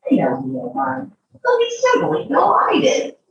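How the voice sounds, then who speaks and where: A woman reading a picture book aloud to a child, expressive, drawing out words and adding chatty asides. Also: high voice, unhurried, very echoey, distant mic, dull audio, background noise.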